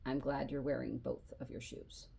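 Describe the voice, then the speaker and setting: A woman voicing a strict, sarcastic female schoolteacher in a storybook, slow and mock-sweet with a threatening edge. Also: rumbling low, level tone, gravelly, warm tone, breathy edge, pitched below the performer's own voice, heavily acted. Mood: dry sarcasm.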